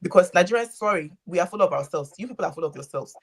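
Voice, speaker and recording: A woman's voice: on the low side, expressive, fast talker. A woman from Ghana talking in a casual group chat, making emphatic points with preachy asides.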